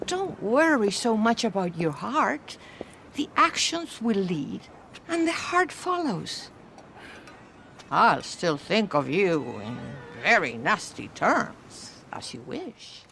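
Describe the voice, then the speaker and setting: An actress playing a devout, kindly religious sister, speaking with earnest, gently teasing care in a dramatic game scene. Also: female voice, on the high side, very animated, slow pace, exaggerated voice. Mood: wry, warm. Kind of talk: dramatic game cutscene acting. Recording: faint background noise.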